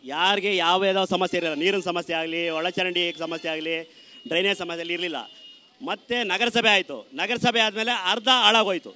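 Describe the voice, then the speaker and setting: High, forceful oratorical man. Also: fairly even intonation. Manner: oratorical, measured listing. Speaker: local resident giving opinion. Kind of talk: street vox pop complaint.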